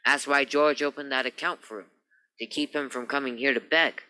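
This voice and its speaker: A man's voice: medium pitch, fairly even intonation, a little fast, bright, forceful tone, low-quality recording. A man playing a smug, preening business partner in an old-time radio crime play, speaking in a pompous, flowery way. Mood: wounded dignity. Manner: pompous, flowery, mannered delivery.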